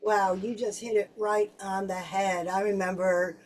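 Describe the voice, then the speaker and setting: A woman in her fifties hosts a podcast interview in an upbeat, enthusiastic way. Her voice sits in a middle pitch and sounds a little distant from the mic. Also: little pitch movement, measured pace, a little mellow. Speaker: podcast host. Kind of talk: casual interview chat.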